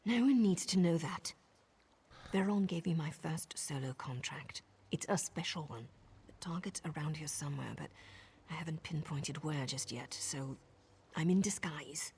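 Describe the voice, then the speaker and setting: A woman playing a sardonic assassin disguised as a servant in a video game, with a sarcastic, conspiratorial manner and a husky, breathy voice. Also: low-pitched, moderate pace, darkish tone, exaggerated voice. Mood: hushed, secretive. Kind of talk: video game character acting.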